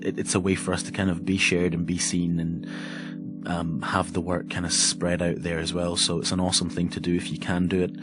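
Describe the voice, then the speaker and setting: A young man from Egypt hosting a casual podcast, talking in an enthusiastic, offhand way and swearing now and then. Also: low-pitched. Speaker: podcast host. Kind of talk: casual solo podcast chat.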